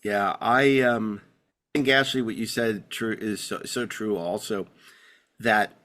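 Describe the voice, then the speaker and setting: A man's voice: lowish voice, steady tone, takes it slowly, fairly bright tone. A middle-aged American man hosting a podcast, chatting casually and enthusiastically in a rambling way. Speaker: podcast host. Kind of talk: casual enthusiastic fan chat.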